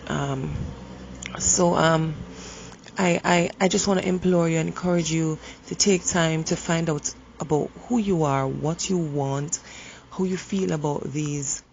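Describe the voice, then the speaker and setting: A woman who is hoarse from the flu, speaking in an earnest, encouraging way about relationships and pausing often to restart her sentences. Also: low voice, darkish tone, lo-fi sound, slight background noise. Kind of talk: reflective motivational monologue.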